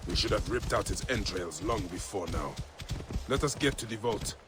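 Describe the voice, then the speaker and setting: An actor as a grieving warrior of ancient Egypt, weighty and earnest in a lowish voice. His game dialogue sits in clear ambient noise. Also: animated, normal speed, lightly acted. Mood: grim. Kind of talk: dramatic video game acting.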